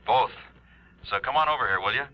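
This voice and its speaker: A man's voice: mid-range voice, a little fast, lightly acted. A man playing an urgent, impatient insurance man calling in a 1950s radio drama. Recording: muffled vintage radio recording.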